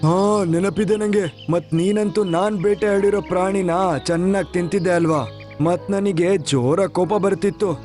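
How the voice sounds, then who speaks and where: A man's voice: sits high, steady tone, unhurried, darkish tone, soft background music. A man voicing a friendly, loyal lion king of the jungle in a cartoon story, talking casually.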